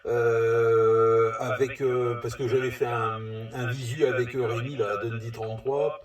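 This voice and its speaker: A man's voice: medium pitch, level tone, unhurried, crowd noise. Rambling man in an echoey space. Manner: hesitant, rambling, repeats words. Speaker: CB radio operator. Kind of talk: casual radio chat. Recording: echoey, distant, voices behind.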